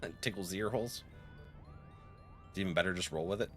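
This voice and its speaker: A man's voice: deep voice, quick pace. A man streaming a game and chatting casually with viewers, easygoing and laughing often. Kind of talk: casual live-stream chat. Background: faint music.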